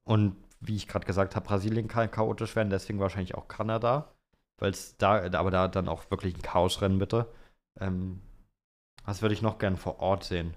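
A man's voice: low voice, level tone, normal speed. A young man, co-hosting a podcast in casual, slangy banter. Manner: colloquial, slangy. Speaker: podcast co-host. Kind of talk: casual banter, answering listener questions.

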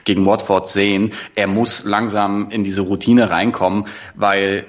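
A man talking earnestly as a podcast guest over a dull remote line from a room with high ceilings. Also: flat delivery, measured pace. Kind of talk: casual chat.

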